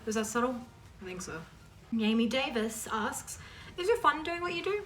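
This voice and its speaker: A woman's voice: on the high side, big pitch swings, moderate pace, fairly bright tone, recorded from a distance, a little hiss or hum. A woman hosting a casual question-and-answer chat for her viewers, informal and emphatic as she reads questions aloud and answers them.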